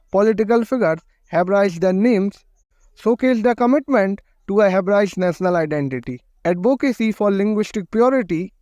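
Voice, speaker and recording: A man giving scripted documentary narration in a steady, measured read, his phrases falling at the ends. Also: high-pitched, dry recording.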